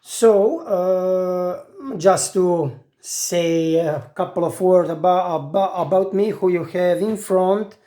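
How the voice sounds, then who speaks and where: Woman, 40s, low-pitched, very slow, halting monologue to camera. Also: mellow. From Croatia. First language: Italian. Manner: halting, searching for words, many restarts. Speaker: IT specialist.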